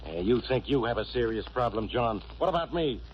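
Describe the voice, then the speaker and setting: A man's voice: medium pitch, fairly quick, close to an everyday voice. Man acting a blustering radio police inspector, muffled recording. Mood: suspicious, needling. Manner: blustering, sarcastic. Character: gruff, blustering, suspicious male police inspector. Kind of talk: 1940s radio detective drama acting. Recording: muffled old radio recording, steady hiss.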